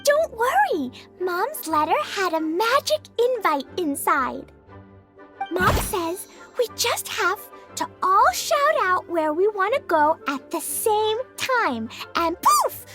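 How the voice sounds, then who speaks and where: A bubbly, eager little girl bounces through her game lines. Her voice sits very high and swoops all over. Soft game music plays behind her. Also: sing-song, slow pace, full character voice. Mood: excited. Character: cheerful excitable little girl knight. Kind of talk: video game voice acting.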